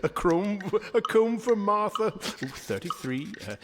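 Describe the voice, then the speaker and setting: An actor playing a meek, kindly clerk in a radio drama, hesitant and deferential, with a slight stammer. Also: male voice, sits high, lively intonation, measured pace. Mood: absorbed, cheerful. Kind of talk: vintage-style radio drama acting. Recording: reverberant hall, clear background noise.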